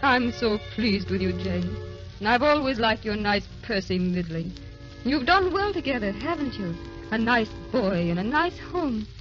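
High, slow, weary tender grandmother. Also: very animated. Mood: weary, wistful. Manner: slow, wistful, repeating phrases. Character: ageless, weary, tender grandmother dying in serene happiness. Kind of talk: 1930s-40s radio drama acting. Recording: dull old radio recording with music.

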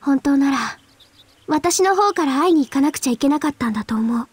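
An actress voicing a gentle, earnest and quietly resolute teenage girl in a Japanese video game, hesitant in manner. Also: high voice, expressive, a little fast, no echo. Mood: subdued, reflective.

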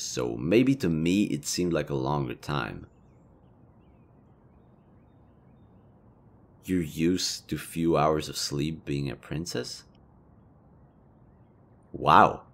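Man acting as low-voiced, awkwardly flirty elven leader. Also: full character voice. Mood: earnest, admiring. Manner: conversational, hesitant, rambling, casually profane. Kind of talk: intimate roleplay audio drama.

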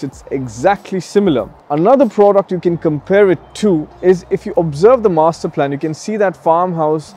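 A man's voice: on the high side, dark tone. A man giving an emphatic, salesy promotional presentation as a real estate agent, putting questions to the viewer.

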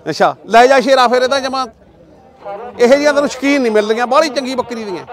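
An older man with a Punjabi accent, a goat seller bargaining loudly at an outdoor market, pushy and talkative. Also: very high voice, fast, some chatter behind. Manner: loud, pushy seller's patter, calls people "shera". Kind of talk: casual bargaining chat.

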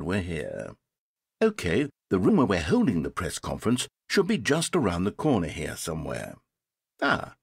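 An actor playing a pompous, theatrical male game narrator with a husky voice and dry sarcasm, recorded close in a studio. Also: medium pitch, no room sound, heavily acted. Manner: dryly sarcastic, grandly theatrical. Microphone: close studio mic.

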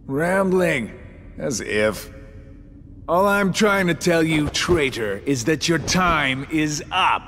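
A man's voice: on the high side, lively intonation, slow pace, background noise. A man voicing a mocking, cocky gunslinger villain in a video game cutscene, with a theatrical drawl. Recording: long hall reverb under loud music.

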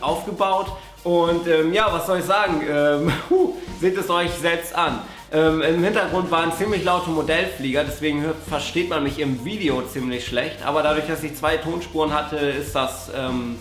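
Male, 20s, higher-pitched, excited casual vlogger, roomy indoor sound. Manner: enthusiastic. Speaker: amateur radio vlogger. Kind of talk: casual vlog to camera. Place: small bare-walled room indoors. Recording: roomy echo, faint music.

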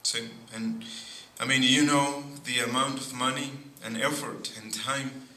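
Slow, bright-toned preaching priest in church. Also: male voice, medium pitch, fairly even intonation. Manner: slow, deliberate preaching with pauses and frequent "see". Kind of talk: sermon. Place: church sanctuary at a pulpit. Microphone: boom mic at pulpit. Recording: echoey church with faint noise.

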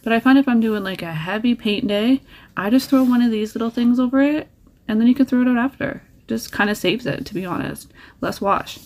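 A woman's voice: on the high side, fairly quick. A woman talking casually through a nail art tutorial, chatty and encouraging, sharing little tips as she works.

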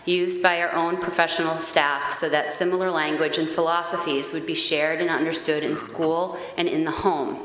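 A woman in her forties with a loud, carrying voice, reading a prepared statement at a podium microphone in a town meeting chamber. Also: deep voice. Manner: earnest, steady reading with occasional hesitant fillers. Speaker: parent group facilitator at a public meeting. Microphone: gooseneck podium microphone. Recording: echoey hall, faint background hiss.

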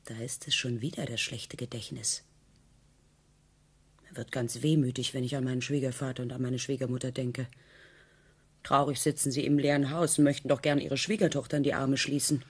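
An actress playing an ironic, poised newlywed wife who needles and probes in a radio play. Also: female voice, extremely low pitch, steady tone, brisk, breathy edge. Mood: probing. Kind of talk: German radio play acting.

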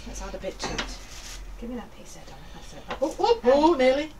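A woman's voice: high-pitched, sing-song, fairly quick. Older woman, grandmotherly and encouraging, casually instructing children; sits away from the mic in a noisy kitchen. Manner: encouraging, patient. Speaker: grandmother leading children's baking. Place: small home kitchen. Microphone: handheld camera a few feet away. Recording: noisy handheld camera, distant mic.

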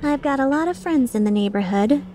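A woman's voice: high voice, animated, a little fast, warm tone, faint music behind. A woman voicing a playful, taunting young mercenary villain in a game fan dub, sardonic and mock-sincere. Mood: gleeful taunting.

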